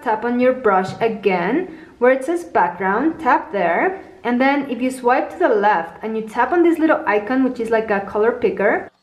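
A woman hosting a tutorial, patiently explaining each step as she works on a tablet. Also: fairly high pitch. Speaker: tutorial host. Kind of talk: step-by-step app tutorial narration. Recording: roomy echo.

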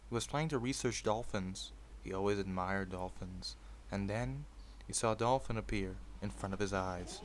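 A man in an informal, sweary manner, hosting a video and moving between casual commentary and storytelling. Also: fairly low pitch. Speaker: video host reading fan fiction aloud.

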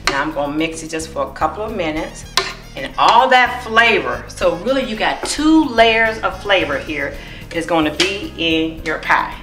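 A middle-aged home cook with a fairly low voice explains each step of a recipe warmly and encouragingly. She is recorded on a camera mic in an echoey kitchen. Music plays clearly in the background. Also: lively intonation, moderate pace. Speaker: cookbook author and home cook. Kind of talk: cooking demonstration.

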